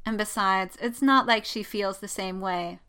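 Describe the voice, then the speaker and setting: A woman's voice: mid-range voice, steady tone, unhurried, sharp tone, smooth. A woman voicing an anxious, lovestruck young female pilot in a story, sighing and whining in exasperation. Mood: lamenting. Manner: sighing, lamenting, whining, easily flustered. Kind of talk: dramatic fan fiction reading.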